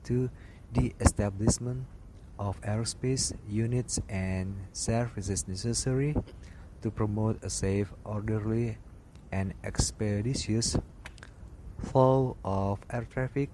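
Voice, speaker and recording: A man reads technical study notes aloud, slowly and haltingly, breaking off and restarting words. His voice sits fairly low. A clear hiss of noise runs under the recording. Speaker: aviation instructor. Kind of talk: reading study material aloud.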